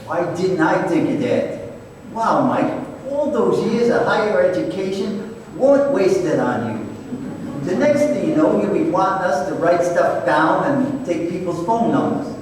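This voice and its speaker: A man's voice: sits high, lively intonation, a little slow, a little mellow. A man playing a sarcastic, needling but loyal private-eye partner in an old time radio drama. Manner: sardonic, bantering. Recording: echoey hall, distant mic, faint noise.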